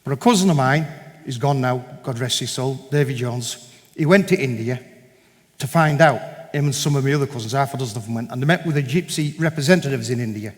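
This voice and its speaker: A man's voice: mid-range voice, fast. A man giving a conversational, storytelling talk to a church congregation, with many digressions and quoted exchanges. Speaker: guest speaker at a church meeting. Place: large church hall. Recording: long reverb of a large hall.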